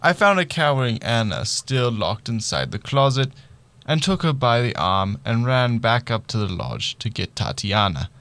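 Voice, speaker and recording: A man's voice: medium pitch, sing-song, moderate pace, fairly bright tone, no echo. A man playing a bumbling, oblivious detective who dramatically narrates a murder mystery in a radio play. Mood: matter-of-fact narration.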